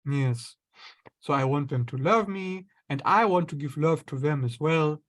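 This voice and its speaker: A man with a somewhat high voice talks through ideas as a guest in conversation. He explains steadily and slips into short acted-out inner thoughts to make his points. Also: a little slow. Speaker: astrologer guest.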